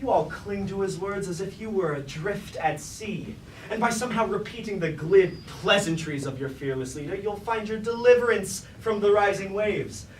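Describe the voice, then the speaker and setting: A man's voice: high voice, normal speed, lightly acted. An actor playing a scheming, grandiose robot king in a staged tragedy, speaking pompous, archaic theatrical lines. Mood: persuasive, oratorical. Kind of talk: staged reading of a mock Greek tragedy. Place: indoor staged reading, mic far from actor. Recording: roomy, distant, noisy live stage recording.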